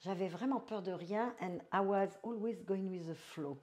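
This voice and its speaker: A woman in her seventies from France, telling her life story as an interview guest, slow and thoughtful, slipping in English phrases. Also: medium pitch, warm tone.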